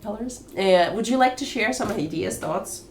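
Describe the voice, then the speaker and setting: A woman's voice: medium pitch, animated, normal speed, roomy sound, a crowd in the background. A woman hosting an online discussion room, talking casually, rambling with restarts and laughs.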